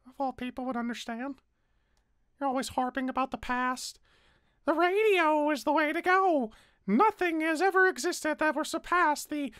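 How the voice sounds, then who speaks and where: A woman's voice: high voice, a bit bright, no echo, studio quality. A woman voicing a bitter, defensive, world-weary character in a roleplay, with a casual, sardonic way of talking. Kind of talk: naturalistic roleplay voice acting.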